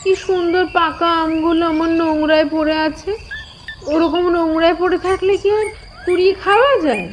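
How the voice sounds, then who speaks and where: Shrill, whiny bossy big sister. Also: female voice, steady tone, normal speed, slight background noise. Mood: indignant complaining. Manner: shrill complaining, wailing and scolding. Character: whiny, bossy young village elder sister, scheming and quick to scold. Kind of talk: exaggerated cartoon voice acting.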